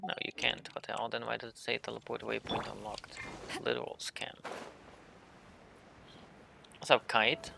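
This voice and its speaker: A man's voice: mid-range voice, little pitch movement, normal speed, vocal fry, long pauses, background noise. A young man giving casual livestream game commentary and chatting to viewers in a laid-back, joking, slangy way. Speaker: game streamer.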